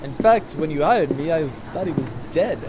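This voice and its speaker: A man's voice: a little high, lively intonation, normal speed, background noise, lightly acted. An actor playing an arrogant, sardonic male space pirate in a comedic sci-fi audio drama, pompous and mocking. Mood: dry, mocking.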